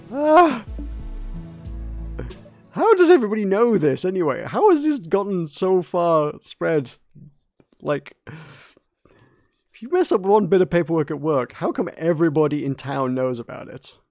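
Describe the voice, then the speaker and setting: A man's voice: high voice, sing-song, unhurried, vocal fry, long pauses, a little mellow, faint music behind. A man with a British accent playing through a visual novel and reading it aloud, with joking, sarcastic asides. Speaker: let's player. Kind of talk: gameplay commentary.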